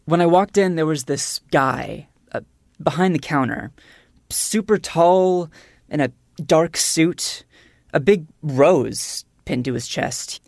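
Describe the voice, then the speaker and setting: A woman with a lowish voice, recorded very dry. She is a podcast producer acting out a comic story, stammering and pressing her point haltingly. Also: lively intonation, creaky, darkish tone, no room sound. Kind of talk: scripted comic storytelling, acted as if real.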